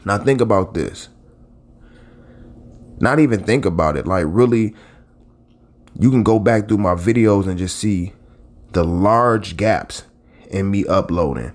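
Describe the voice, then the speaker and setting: Late-30s guy with a real low voice, rambling vlog talk, loose and chatty. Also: unhurried, pauses often. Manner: rambling and conversational, with many restarts and asides. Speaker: YouTube blogger and channel host. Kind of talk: casual talk straight to camera.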